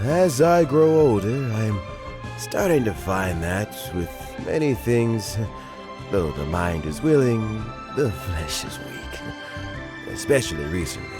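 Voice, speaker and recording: An actor playing a genial, dignified older statesman in a fantasy game, speaking in courteous, measured, formal phrases. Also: sits low, full character voice. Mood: weary, reassuring. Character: genial, dignified aging male statesman, frail but sharp. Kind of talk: fantasy video game voice acting. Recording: game music behind the voice. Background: background music.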